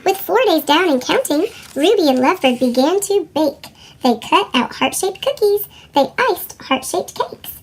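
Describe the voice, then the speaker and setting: A woman reading a children's picture book as storyteller, with a squeaky chipmunk voice effect. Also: lively intonation, normal speed. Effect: sped-up chipmunk pitch shift. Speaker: read-aloud storyteller for children.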